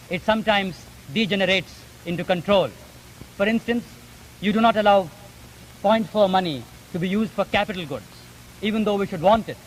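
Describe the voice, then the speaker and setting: A high-voiced man with an Indian accent argues his points formally and emphatically. His speech is articulate and deliberate in its phrasing. The recording is an old 1950s broadcast with light background noise. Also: poor audio. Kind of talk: formal debate.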